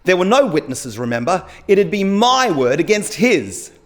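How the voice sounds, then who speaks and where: A man's voice: high-pitched, very animated, moderate pace, voice pushed up. A man reading the part of an injured, vengeful cyclist in a story, emphatic and colloquial. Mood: bitter, insistent.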